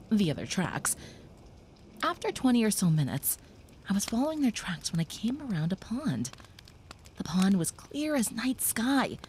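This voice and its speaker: Woman voicing a gentle young vampire. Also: mid-range voice, a little hiss or hum, lightly acted. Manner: soft, reassuring, sometimes whispering. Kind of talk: audio roleplay to the listener.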